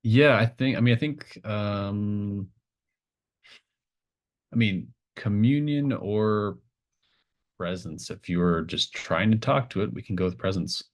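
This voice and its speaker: A man working as game master, improvising narration and chatting casually in a halting, searching way. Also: fairly low pitch.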